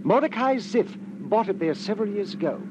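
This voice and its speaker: An actor playing a fussy, anxious gentleman of about fifty, formal and precise, in 1940s radio drama style. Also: sits high, animated, fairly quick. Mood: precise, earnest. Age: middle-aged. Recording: noisy old radio recording.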